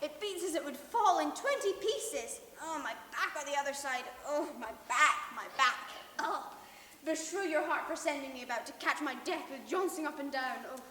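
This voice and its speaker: A woman's voice: high-pitched, normal speed, close to an everyday voice. A woman playing a weary, teasing old nurse servant in Shakespearean stage style, rambling and full of groaning exclamations. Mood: weary complaining. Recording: echoey hall, distant mic, faint noise.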